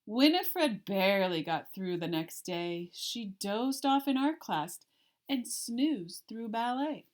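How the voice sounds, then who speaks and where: Woman, children's read-aloud, unhurried pace, medium pitch, expressive storyteller, deliberate rhyming delivery. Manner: deliberate, theatrical storytelling with emphasis on rhymes. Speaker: elementary art teacher.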